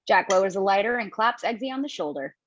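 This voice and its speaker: A woman reading the stage directions in a screenplay table read, brisk and casually playful. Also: medium pitch, animated, a little hiss or hum. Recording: dull lo-fi home mic.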